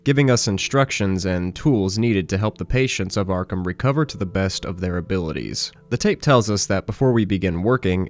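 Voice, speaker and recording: A man hosting a horror review, reading a scripted video essay as narration. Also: sits low. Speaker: host, horror series reviewer.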